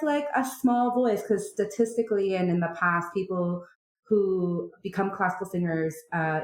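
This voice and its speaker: Chatty low-voiced woman, roomy sound. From Canada. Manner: chatty and animated, many fillers and likes. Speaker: opera singer guest. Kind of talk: casual podcast interview. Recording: roomy echo.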